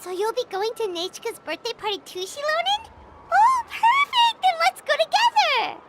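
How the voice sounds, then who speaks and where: A woman voicing a small, cheerful, eager childlike companion creature in a video game, earnest and excitable. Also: extremely high pitch, a little fast. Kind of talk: game character dialogue. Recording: loud music behind the voice. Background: game music.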